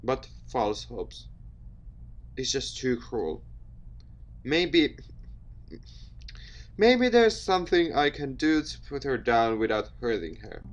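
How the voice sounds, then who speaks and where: A man's voice: mid-range voice, big pitch swings, takes it slowly, pauses often. A man playing a wry, self-doubting teenage boy, the protagonist of a visual novel, in a dry and brooding manner.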